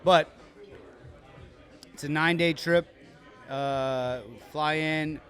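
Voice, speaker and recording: A man's voice: a little high, fairly even intonation, fairly bright tone. A man co-hosting a podcast recorded live at a bar, talking in a casual, rambling way with many restarts. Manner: rambling, halting restarts, upbeat. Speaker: podcast co-host. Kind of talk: casual chat.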